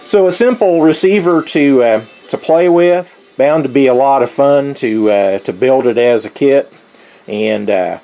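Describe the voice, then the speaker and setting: A middle-aged man with a creaky, gravelly voice of medium pitch walks through a hands-on demonstration. He explains things in a halting, unscripted way, like a hobbyist. A radio plays faintly behind him. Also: mellow, faint music behind, low-quality recording. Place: indoors, at a tabletop radio. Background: shortwave radio playing faintly.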